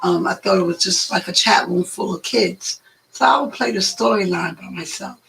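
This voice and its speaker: Hoarse, gravelly chatty woman. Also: medium pitch, a bit bright, a little hiss or hum, low-quality recording. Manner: chatty, rambling, drawn-out. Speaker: guest, host's mother. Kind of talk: casual storytelling chat.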